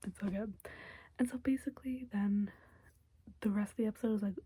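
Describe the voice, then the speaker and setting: A young woman recaps a show in a close, soft whisper. Her voice is very breathy and mellow, and the sound is dry with no room around it. She rambles casually and fills gaps with "like" and "um". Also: mid-range voice, steady tone, brisk, no echo, rough recording. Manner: soft whisper, chatty with many fillers. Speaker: TV episode recapper.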